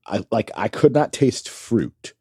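A man co-hosting a casual podcast, chatty and curious, asking lots of questions and filling in with quick replies. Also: on the low side, big pitch swings, creaky, darkish tone, husky, no echo, clean studio sound. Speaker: podcast co-host. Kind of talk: casual chat.

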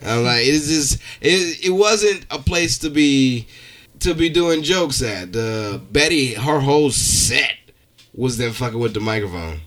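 A man with a bright, punchy voice at an average pitch. He talks like a stand-up comedian on a podcast, spinning animated stories full of slang and swearing in a loose, casual way. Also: unhurried. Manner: animated storytelling, profane, slangy.

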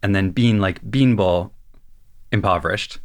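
A man co-hosting a podcast, chatting casually and thoughtfully about an animated show. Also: on the low side, measured pace. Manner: thoughtful, explanatory. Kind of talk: casual analytical chat.

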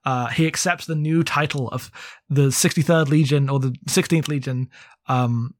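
A man co-hosting a podcast, talking through a book casually in a halting, rambling way full of asides. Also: medium pitch, fairly even intonation, no room sound, studio quality. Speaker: podcast co-host. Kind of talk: casual book discussion with plot recap.

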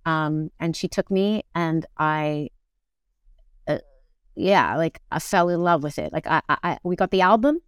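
A middle-aged woman chatting reflectively as an interview guest, animated and thinking aloud, often doubling back on her words. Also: low-pitched, a little slow, clean tone, dry recording, studio quality. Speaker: interview guest, musical theatre actor. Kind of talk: casual reflective conversation.

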